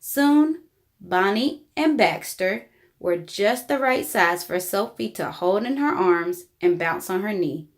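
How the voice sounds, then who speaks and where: A woman reading a children's book aloud in an expressive, deliberate storytelling style. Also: medium pitch. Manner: animated, deliberate storybook delivery. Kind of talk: expressive read-aloud narration for young children.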